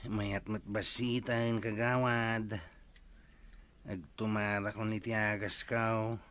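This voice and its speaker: A man's voice: lowish voice, little pitch movement, slow, fairly bright tone, clear, resonant tone. An actor with an Ilocano accent playing an ailing, grateful village man in a comedy drama. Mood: weak, grateful.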